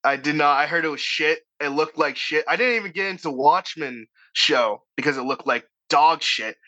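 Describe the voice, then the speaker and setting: A man's voice: fairly high pitch, normal speed, sharp tone, dull audio. A man with a Boston accent, an author talking in a casual podcast conversation, profane and rambling with frequent restarts. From Boston area, United States. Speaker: author guest.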